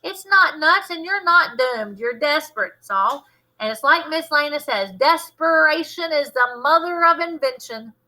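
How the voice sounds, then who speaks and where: A woman acts out a bold young girl who leads a detective scheme in a children's story. Her voice is high and strikingly bright. She is emphatic, bossy and sure of herself. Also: animated, moderate pace, poor audio. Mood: determined, upbeat. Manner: confident, emphatic and take-charge. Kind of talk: children's novel read-aloud.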